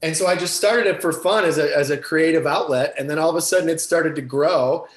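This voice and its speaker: A man talks casually as an interviewed series creator. His voice is fairly high, heard with clear room echo. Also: fairly quick. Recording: echoey room.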